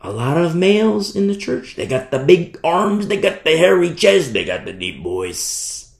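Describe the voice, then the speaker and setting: A man preaching a sermon in an emphatic, repetitive style, swinging from hushed to loud. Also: a little high, sing-song, unhurried, creaky. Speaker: pastor. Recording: roomy echo.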